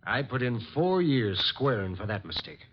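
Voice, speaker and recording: An actor playing a tough, defensive ex-convict in an old radio drama, husky and blunt. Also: male voice, mid-range voice, sing-song, normal speed. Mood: defensive, irritated. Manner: blunt, combative. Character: tough, defensive ex-convict businessman going straight. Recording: dull old radio recording.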